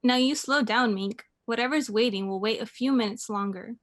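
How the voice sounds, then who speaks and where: Radio play mother: female actor, patient, mildly amused, unhurried, slightly high pitch. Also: lightly acted. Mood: gently chiding. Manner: gently chiding, unhurried.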